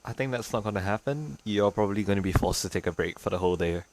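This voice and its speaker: A man's voice: fairly low pitch, quick pace, slight background noise, rough recording. A man streaming a game playthrough, casually commentating with wry asides and reading on-screen text aloud. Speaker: streamer playing through a game.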